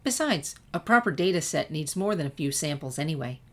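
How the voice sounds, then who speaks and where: A woman's voice: low-pitched, lively intonation, a little fast. A woman voicing an earnest, bookish, science-minded teenage girl with an eager manner, in a story reading.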